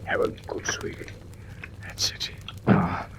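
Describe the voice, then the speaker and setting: An actor playing a bluff, concerned companion to a detective in a radio drama, hearty and exclamatory. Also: male voice, slow, in a whisper, close to an everyday voice. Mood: soothing.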